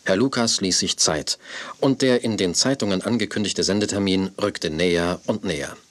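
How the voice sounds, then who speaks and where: A man's voice: low voice, normal speed, fairly bright tone. A man narrating a children's audio drama as a scripted storyteller.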